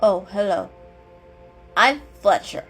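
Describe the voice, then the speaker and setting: A woman voicing a busy male sparrow in a storybook reading, brisk and blunt. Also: mid-range voice, very animated, slightly rough, soft background music, exaggerated voice. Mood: subdued greeting.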